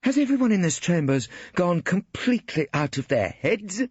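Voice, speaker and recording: A man's voice: high voice, sing-song, normal speed, husky, dry recording, muffled recording, pitched above the performer's own voice, exaggerated voice. A man voicing a female barrister, cool and sarcastic, with clipped delivery. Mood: indignant. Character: crisp, icy female barrister.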